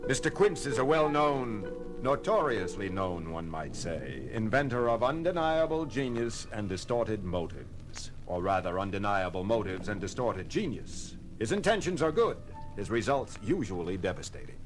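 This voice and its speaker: A man's voice: on the low side, big pitch swings, moderate pace, faint music behind. A man playing a logical alien science officer in an audio drama, speaking in a formal, precise, dryly ironic manner. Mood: dry, measured.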